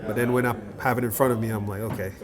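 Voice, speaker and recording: A man hosting casual interviews at a watch collectors' event, agreeable and quick to affirm. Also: lowish voice, flat delivery. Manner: friendly, agreeable, lots of short affirmations. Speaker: interviewer and channel host. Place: indoor watch boutique event. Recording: voices over a chatty crowd.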